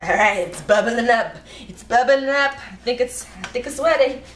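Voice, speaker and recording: A young woman goofing around while she cooks in her home kitchen, talking to the camera in a silly, self-mocking way with loud outbursts. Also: on the high side, normal speed. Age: young adult. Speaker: vlogger. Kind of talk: goofy cooking vlog. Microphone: camera across the kitchen. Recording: echoey kitchen, audible noise.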